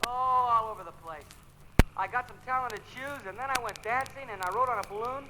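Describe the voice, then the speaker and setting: A man's voice: very high-pitched, fairly quick, noisy background. A man voicing a tiny, mischievous boy who speaks cheerfully. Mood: carefree.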